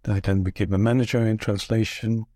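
A man's voice: lowish voice, flat delivery, fairly quick, warm tone, dry recording, studio quality. An older man from Belgium whose first language is Dutch, recalling his career thoughtfully as an interview guest with frequent restarts. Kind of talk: relaxed conversational interview.

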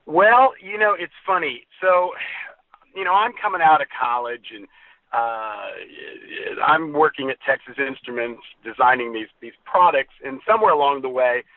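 A man from the US Midwest, a guest who tells stories in a friendly way and pauses on many uhs. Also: medium pitch, no room sound. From Ohio, US Midwest. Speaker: guest, comedy performer and co-founder. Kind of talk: interview conversation. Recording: dry, tinny phone line.

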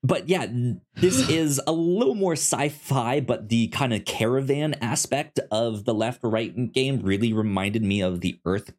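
A man co-hosting a podcast in casual review chat, phrasing his thoughts in a somewhat halting, hesitant way. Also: medium pitch, darkish tone. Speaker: podcast co-host.

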